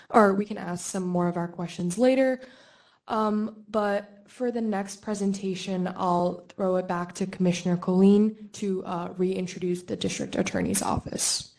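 A woman with a soft-edged, mellow voice sitting slightly low in pitch. She chairs a meeting, moving it along in a formal, procedural style. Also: moderate pace.

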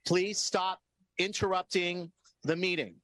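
A man's voice: high-pitched, fairly even intonation, unhurried, a bit bright, dry recording. A man presiding over a city council meeting, running public comment with firm, procedural phrasing and repeated polite cut-offs. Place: council chamber.

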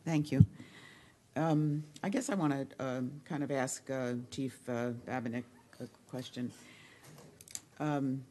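A woman commissioner questioning an official at a public meeting, feeling her way through halting, tentative phrases. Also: a bass voice, mellow. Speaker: county commissioner.

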